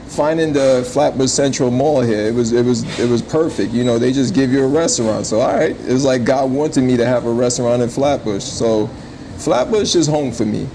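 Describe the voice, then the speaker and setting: A man's voice: mid-range voice, a little fast, dark tone. Slangy New man, noisy background. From born in Jamaica, raised in Brooklyn from age five. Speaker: restaurant owner being interviewed. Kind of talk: casual conversational interview.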